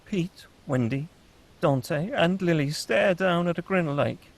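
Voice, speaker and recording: A man's voice: on the high side, lively intonation, measured pace, creaky. A man reading screenplay stage directions aloud as the narrator at a table read.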